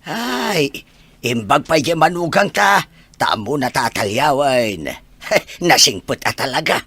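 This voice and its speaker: Raspy, sharp old Ilocano grandfather played by an actor. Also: fairly high pitch, big pitch swings, slow, dry recording, exaggerated voice. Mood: grumbling. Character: elderly grandfather, grumbling about his son. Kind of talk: Ilocano audio drama acting.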